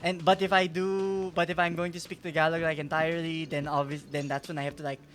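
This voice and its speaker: A man's voice: on the high side, flat delivery, fast, fairly bright tone, soft background music. A young man from the Philippines with a Filipino accent, streaming a game and chatting in a rambling, self-deprecating way. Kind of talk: casual game-stream chat.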